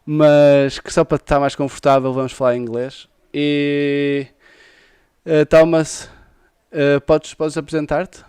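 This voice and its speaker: A man's voice: on the high side, little pitch movement, normal speed, darkish tone, smooth, no echo, studio quality. A male podcast host with a European Portuguese accent, chatting casually with a guest, laughing often and restarting his sentences. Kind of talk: casual live interview.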